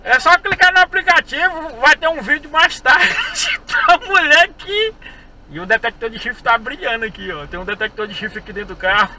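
A man's voice: very high voice, animated, moderate pace, sharp tone. A young man from Brazil who drives a ride-share dressed as a clown, chatting and joking with his passengers and laughing often. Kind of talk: casual prank chat with passengers. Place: inside a car at night. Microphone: dashboard camera mic. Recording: distant in-car mic with road noise.

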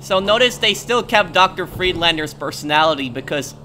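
A man's voice: on the high side, lively intonation, normal speed, bright, forceful tone. A man giving casual commentary as a gaming YouTuber while he plays. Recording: faint game vehicle noise behind.

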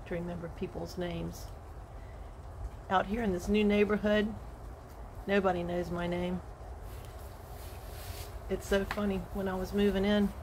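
A woman in her sixties, a retired teacher, sharing a gentle, earnest devotional while recording on her phone on an outdoor porch. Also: medium pitch, noisy background. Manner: slow, gentle and earnest, with soft laughs. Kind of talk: casual devotional walk-and-talk vlog. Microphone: phone held at arm's length.

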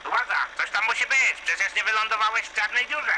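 Guy doing an insistent cartoon army commander, really high male voice, noisy radio sound. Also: fairly quick, natural voice. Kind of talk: amateur animated comedy acting.

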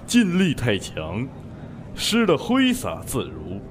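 Man acting a slow-spoken martial arts master. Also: medium pitch, wildly swooping pitch, noisy background. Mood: calm, correcting. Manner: measured, instructive. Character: calm, unhurried martial arts master, male. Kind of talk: Chinese wuxia audio drama acting.

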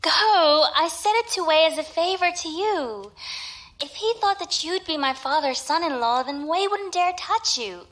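A woman's voice: very high-pitched, expressive, a little slow, fairly bright tone, full character voice. A woman playing a sweet, earnest young swordsmith's daughter in an audio drama, given to exclamations. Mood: earnest, apologetic.

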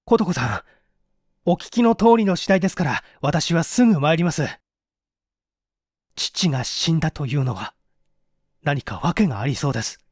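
A man voicing a courteous, earnest, worried young gentleman in an audiobook reading. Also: a little high, expressive, moderate pace, pauses often, no echo, studio quality. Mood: urgent, resolute. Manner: polite and earnest. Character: earnest, courteous young master, worried about his father. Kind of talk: audiobook character voice.

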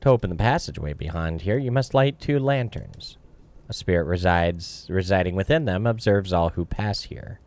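A man voicing a courteous, solemn ghostly captain from a video game, formal and measured. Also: low voice, expressive, a little mellow. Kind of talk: voiced game dialogue. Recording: music behind.

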